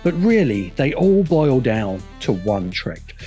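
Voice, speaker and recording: A man's voice: medium pitch, sing-song, slow pace, mellow. Wry male video essay narrator over music. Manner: wry, critical commentary. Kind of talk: scripted narration.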